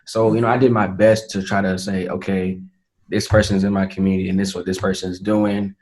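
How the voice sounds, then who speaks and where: A man's voice: sits low, little pitch movement, darkish tone, poor audio. Earnest eighteen-year-old man. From Atlanta, Georgia, USA. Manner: earnest, measured, frequent fillers. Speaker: interview guest, young entrepreneur. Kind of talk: casual interview talk.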